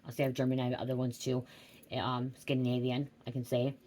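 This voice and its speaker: A woman's voice: extremely low pitch, monotone, fast talker, warm tone. A middle-aged woman giving a rambling psychic reading, drifting off track, swearing freely and asking "okay?" over and over. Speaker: psychic tarot reader. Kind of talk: rambling casual livestream reading.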